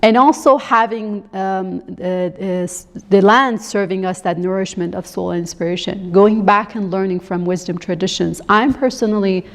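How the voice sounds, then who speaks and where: A woman's voice: mid-range voice, moderate pace, few pauses. A woman from Canada, born in Iran, giving a reflective, informal conference presentation as an instructor explaining student work. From grew up in Canada, born in Iran. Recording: echoey hall, long reverb.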